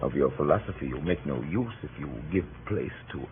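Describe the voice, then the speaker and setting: A man's voice: very deep voice, lively intonation, a little fast. A man playing a passionate, wounded Roman nobleman in declamatory, theatrical 1930s radio Shakespeare. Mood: shocked. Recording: muffled, noisy 1930s radio broadcast.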